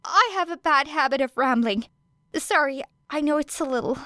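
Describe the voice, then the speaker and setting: A woman's voice: high-pitched, expressive, moderate pace, sharp tone, dry recording, studio quality, voice pushed up. Woman voicing a flustered rambling teen boy. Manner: eager, apologetic rambling, tripping over himself. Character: nervous rambling teenage boy hero student. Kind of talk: audiobook character voice.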